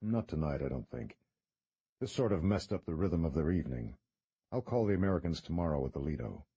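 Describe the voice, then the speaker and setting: A man voicing a calm, steely priest who speaks quietly and with measured contempt. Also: a bass voice, breathy edge, no room sound, dull audio, heavily acted. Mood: dry.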